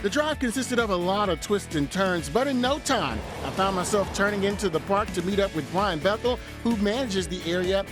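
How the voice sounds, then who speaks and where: A man's voice: high voice, animated, a little fast, hardly any pauses. A man hosting a travel segment in upbeat scripted narration and on-location chat, playful and self-deprecating. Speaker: host of a local travel segment. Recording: music bed behind the voice.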